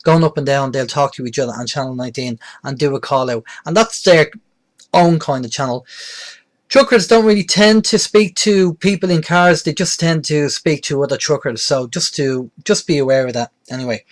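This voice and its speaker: Middle-aged male presenter, slightly high-pitched voice, plain-spoken delivery for an informal hands-on how-to. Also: moderate pace. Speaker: presenter of a beginners' radio tutorial. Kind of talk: informal step-by-step tutorial.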